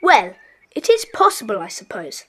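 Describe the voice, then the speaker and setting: High-pitched, bossy schoolboy sleuth. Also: female voice, wildly swooping pitch, moderate pace, a bit bright, no echo, full character voice. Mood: thoughtful. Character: schoolboy leader of child detectives, bossy, decisive. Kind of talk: children's audio drama acting.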